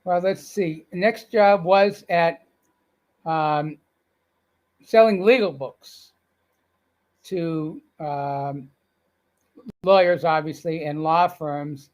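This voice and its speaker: A man's voice: on the high side, extremely slow, pauses often. An older man with a Boston accent, telling stories as a guest in a casual upbeat interview. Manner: folksy, upbeat storyteller.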